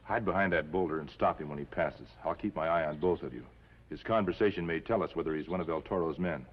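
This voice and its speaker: A noble masked lawman, calm and decisive. His low voice moves briskly through formal lines, with long reverb on an old muffled TV soundtrack. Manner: crisp, decisive, formal diction. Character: the Lone Ranger, upright, calm masked lawman hero. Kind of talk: 1950s television western acting. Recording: dull, hissy, reverberant old television soundtrack.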